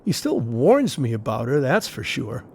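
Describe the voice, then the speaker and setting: A man as a gently probing confidant, noisy recording. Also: mid-range voice, huge pitch swings, normal speed. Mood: musing. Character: attentive male confidant, gently probing and apologetic. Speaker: actor in an audio play. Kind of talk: naturalistic audio play acting.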